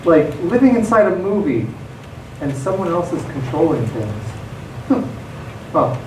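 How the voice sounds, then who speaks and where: A man's voice: on the high side, sing-song, a little slow, darkish tone. A man playing a folksy, musing journal reader in a live radio theatre monologue. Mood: reflective. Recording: distant echoey stage recording, noisy.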